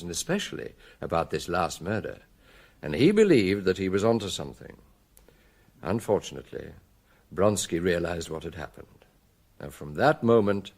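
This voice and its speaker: An actor with a British accent playing a middle-aged French chief inspector in a radio drama, measured, courteous, gentle and shrewd. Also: male voice, deep voice, exaggerated voice.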